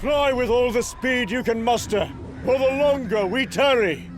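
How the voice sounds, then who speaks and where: A man's voice: very high-pitched, measured pace, noisy background, voice pushed up. A man playing a proud, vengeful warrior thunder god in a comic book drama, declaiming solemnly and defiantly. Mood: vengeful resolve. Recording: dramatic music behind the voice.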